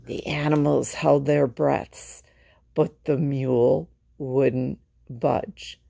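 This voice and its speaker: Female storytime reader, low and gravelly, for children's picture books. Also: steady tone, very slow, warm tone, no room sound. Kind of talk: children's picture-book read-aloud.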